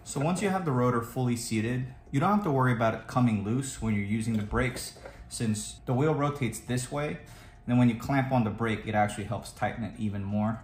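A man's voice: medium pitch, brisk, noisy background. A man giving a plain, step-by-step voice-over as he walks through a scooter repair. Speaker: DIY scooter builder. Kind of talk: tutorial voice-over.

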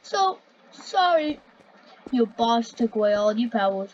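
A woman's voice: on the high side, very animated, measured pace, a little mellow. A young woman playing a game with a friend, teasing and goofy in playful roleplay chat. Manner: goofy, teasing, squealing in bursts. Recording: slightly dull home mic with faint noise.

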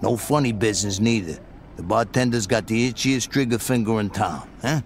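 A man's voice: fairly low pitch, normal speed, slight background noise, lightly acted. A man playing a mocking, menacing gangster henchman in a video game, slangy and taunting. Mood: mocking menace.

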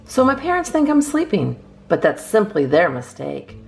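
A woman's voice: mid-range voice, wildly swooping pitch, normal speed, gravelly. A woman hosting a storytime, reading children's poems aloud expressively with theatrical emphasis. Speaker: storytime host reading a picture book.

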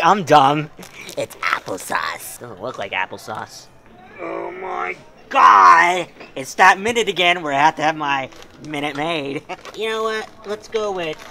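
A young man vlogs from a store with clear noise around him. His pitch leaps all over the place and he draws his words out slowly. He comes across as manic and giggly, moving between mutters and yells as he plays to the camera. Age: young adult. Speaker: comedy vlogger and host. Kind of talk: comic shopping vlog to camera. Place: large store aisles, indoors. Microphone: handheld camera a short distance away.

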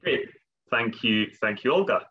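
A man's voice: mid-range voice, animated, unhurried, husky. A man in his thirties presenting a business webinar on a video call, often repeating words as he goes. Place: home office, video call. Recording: dull lo-fi video call audio.

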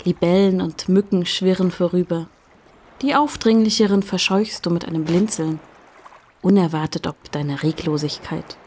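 A woman reading as the hushed, literary narrator of an audio drama, with a breathy voice. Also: on the low side, moderate pace, a little hiss or hum, natural voice. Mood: hushed, tense. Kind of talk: slow literary narration in second person.

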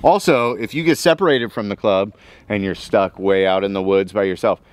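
A man with a low voice hosting a casual forest walk and chatting easily with his guest outdoors. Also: normal speed, no echo. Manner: easygoing, agreeable interjections. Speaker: host.

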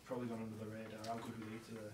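Flat, quick reporter, off-mic. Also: male voice, on the low side, echoey room, far from the mic. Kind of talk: press conference questions. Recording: off-mic, with faint noise.

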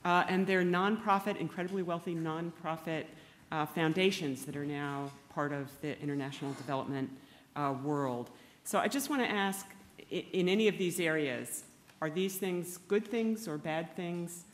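A woman's voice: fairly low pitch, normal speed, slight background noise. Older woman moderating at a lectern. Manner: casual, questioning. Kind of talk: moderating a panel. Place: auditorium lectern. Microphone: lectern mic.

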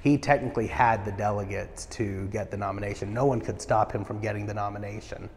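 A man's voice: on the low side, steady tone, fast, a little mellow, big-room sound, a little hiss or hum. A man in his thirties, a party official, answering interview questions earnestly with many "uh" fillers, on a lapel mic in a marble stairwell. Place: large marble stairwell indoors.

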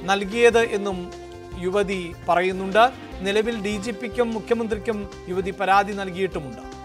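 High-pitched male news anchor from a studio. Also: fairly even intonation, unhurried. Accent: Kerala. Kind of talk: live news presenting. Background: news music bed.

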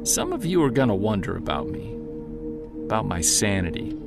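A man playing a driven, defiant Bigfoot hunter narrating an audio-drama journal with earnest intensity and emphatic pauses. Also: lowish voice, big pitch swings, gravelly, music in the background. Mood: defiant, determined.

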